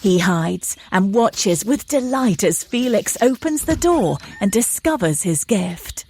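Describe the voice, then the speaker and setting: A woman with a husky voice, narrating an audio drama as scripted scene description. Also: medium pitch, expressive, slow, darkish tone.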